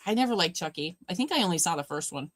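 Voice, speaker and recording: Deep-voiced woman chatting with her stream. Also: lively intonation, fast, no room sound. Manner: chatty, warm replies to chat, addresses viewers by name. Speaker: livestream host. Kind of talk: casual chat reading viewer comments.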